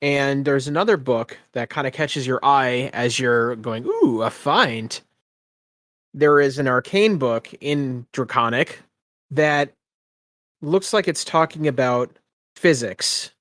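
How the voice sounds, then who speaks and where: A man acting as game master, improvising descriptions for a tabletop fantasy game in a halting, piecemeal way. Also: medium pitch, fairly bright tone, dry recording, studio quality.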